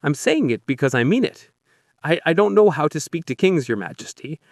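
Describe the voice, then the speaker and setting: A man's voice: on the high side, sing-song, brisk, dry recording, clean studio sound. A man voicing a humble, earnest, anxious peasant who speaks hesitantly and deferentially, with stammers, in an audiobook story. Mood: earnest, nervous.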